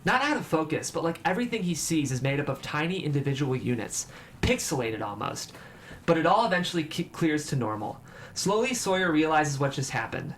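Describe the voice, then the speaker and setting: Higher-pitched man narrating a script. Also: very animated, a little fast, fairly bright tone. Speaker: stage-direction narrator. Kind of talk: scripted narration at a table read. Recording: clear background noise.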